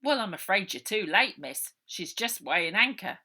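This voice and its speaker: A woman voicing a brisk, officious male dock gate guard in a storybook reading, matter-of-fact and politely final. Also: medium pitch, lively intonation, pushed and bright.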